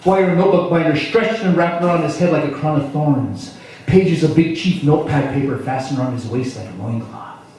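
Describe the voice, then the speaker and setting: A man from South Dakota tells a spoken-word story with a dark, mellow voice and a wry, dramatic touch, moving between loud lines and hushed ones. He is heard from some distance in a very echoey room. Faint noise sits behind him. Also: a little high, fairly quick. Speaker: storyteller performer. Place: live performance venue.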